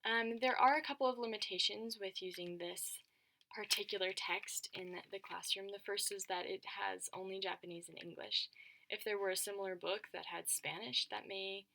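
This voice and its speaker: A woman's voice: mid-range voice, steady tone, moderate pace, gravelly, sharp tone, low-quality recording. A young woman giving a recorded class presentation, thoughtful and hesitant, with many ums and restarts. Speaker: education student.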